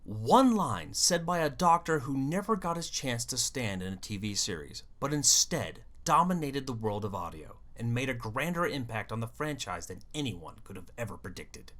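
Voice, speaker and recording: Lively, animated video host with expressive swings. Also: mid-range voice, normal speed, few pauses. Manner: animated, dramatic storytelling with wry asides. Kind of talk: scripted fan commentary on an audio drama series.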